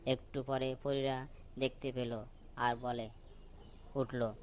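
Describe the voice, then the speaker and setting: Slow, even-toned man telling stories. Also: medium pitch. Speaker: storyteller. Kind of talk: scripted children's story narration. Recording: noticeable background noise.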